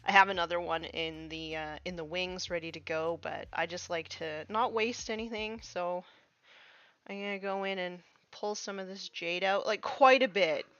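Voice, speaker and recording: A woman's voice: fairly low pitch, normal speed, fairly bright tone, no room sound. Young woman stream host, sniffly, rambling chatty delivery, muffled lo-fi dry audio. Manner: chatty, loose, many fillers. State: slightly sniffly, coming down with a cold. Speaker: stream host painting miniatures. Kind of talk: casual live-stream chat while working.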